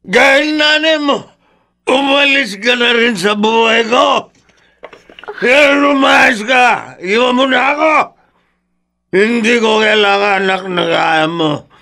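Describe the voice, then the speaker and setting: A man's voice: very high-pitched, takes it slowly, pushed and bright, full character voice. A man acting in a Filipino radio drama as a bitter, hot-tempered, middle-aged drunkard father who has been in prison. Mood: drunken rage. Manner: anguished, emphatic outbursts.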